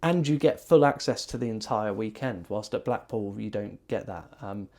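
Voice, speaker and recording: A man's voice: lowish voice, moderate pace, a little mellow. A man casually recapping an event in a rambling way, with many ums and self-corrections. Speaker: magician reviewing a convention.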